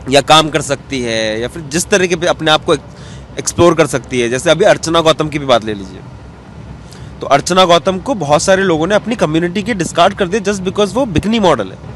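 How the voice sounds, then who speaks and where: High-voiced man explaining his art. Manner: reflective, explains at length mixing English terms. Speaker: cartoonist guest. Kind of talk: sit-down interview answers. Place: outdoors in an open courtyard. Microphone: handheld mic held out by interviewer. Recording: faint outdoor street noise.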